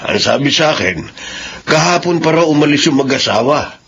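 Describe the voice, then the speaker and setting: A man's voice: fairly high pitch, lively intonation, fairly quick, fairly bright tone. A man playing a kindly, decent middle-aged father in a Filipino radio drama. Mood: concerned. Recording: dull lo-fi radio, faint noise.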